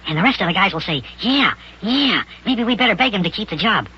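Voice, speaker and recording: A man voicing an eager, mischievous, boastful little boy in a children's radio serial, with a high, raspy, sped-up voice. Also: expressive, a little fast, heavily acted. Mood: eager, scheming. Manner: chattering, boastful, plays at being important. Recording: dull lo-fi old radio recording.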